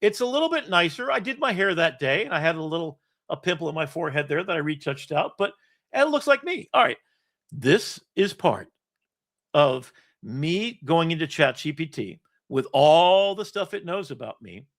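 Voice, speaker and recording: A man's voice: a little high, sing-song, moderate pace, fairly bright tone. A man in his sixties hosting a show, casually walking through a screen-shared document in a rambling, self-deprecating way with the odd curse. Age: 60s. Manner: rambling, self-deprecating, swears now and then. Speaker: host. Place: home setup with an iPad.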